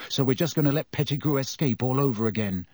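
A man with a husky voice voicing a tense, determined teenage boy who speaks quietly and earnestly. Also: mid-range voice, fast, no echo, studio quality, natural voice.

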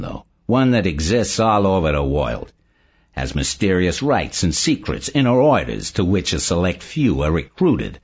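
Male narrator as an eager, confident investigator in an audiobook, very deep and animated, emphatic delivery, lo-fi sound. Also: husky, full character voice. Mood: reasoning, pleased. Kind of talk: audiobook character dialogue. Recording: dull lo-fi recording.

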